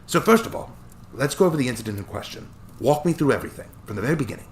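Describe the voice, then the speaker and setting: A man reading a story aloud and voicing a cheerful, chatty old Scottish male lawyer, raised higher than his own voice, with a lilting Scottish accent. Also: very animated, racing, slight background noise, lightly acted. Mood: probing.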